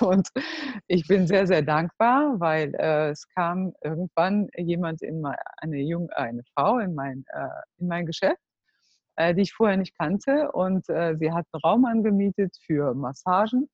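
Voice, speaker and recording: German woman in her 40s, deep-ish voice, stops and starts a lot, dull dry sound. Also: darkish tone, no room sound. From Germany (Cologne). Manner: earnest, halting, searching for words. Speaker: entrepreneur, guest. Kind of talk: personal life story in a group talk. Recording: dull, dry close recording.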